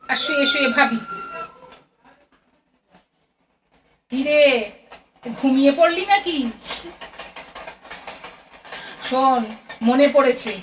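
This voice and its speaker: Radio play mother: actress as older woman, very high-pitched, plain-spoken, coaxing and fond, heard off-mic. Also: a bit bright. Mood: reassuring, musing. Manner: motherly scolding and coaxing in short colloquial bursts. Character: affectionate, teasing, plain-spoken older mother of a grown son. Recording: distant mic, very noisy audio.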